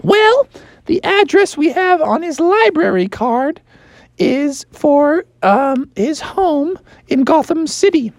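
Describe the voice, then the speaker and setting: A man voicing a fussy, scolding woman librarian in a bedtime story, high and prim. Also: animated, slow, no echo, heavily acted.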